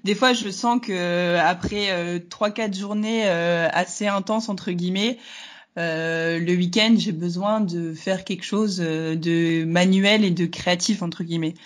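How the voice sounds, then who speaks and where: A woman's voice: lowish voice, steady tone, a little slow. Hesitant young woman with a faint northern French accent. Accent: slight northern French. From Hauts-de-France, northern France. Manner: hesitant, many fillers, laughs easily. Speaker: guest entrepreneur. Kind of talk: casual interview answers.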